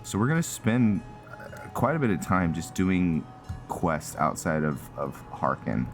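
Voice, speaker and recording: A man's voice: deep voice, big pitch swings, a little slow, faint music behind. A man giving casual, rambling game commentary, thinking aloud as he plays. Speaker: gamer narrating a playthrough.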